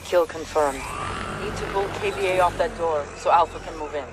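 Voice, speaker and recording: A man's voice: on the high side, fairly quick, heavy background noise, lightly acted. A man acting a focused military spotter, giving terse instructions during a mission in a shooter game. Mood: focused, controlled. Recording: loud game music over the voice.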